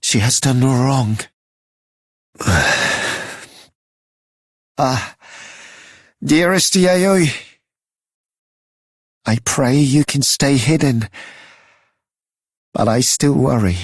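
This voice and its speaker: Very slow, husky, devoted protector. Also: male voice, medium pitch, dry recording, studio quality. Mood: worried, devoted. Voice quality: husky, breathy. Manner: tender, earnest, halting. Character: devoted, sorrowful protector of a young woman. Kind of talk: dramatic video game voice acting.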